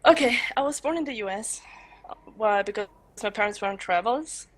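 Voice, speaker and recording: Woman, 20s, from Switzerland. Mid-pitched, giggly, halting guest answers. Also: expressive. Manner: giggly, hesitant, searching for words. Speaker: student and streaming guest. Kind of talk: casual live-stream Q&A.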